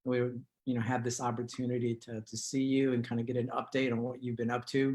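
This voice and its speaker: A man hosting an online community talk show from his living room on a video call, speaking in a formal, measured way. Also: mid-range voice, little pitch movement, a little mellow. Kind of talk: scripted-sounding show introduction and interviewing.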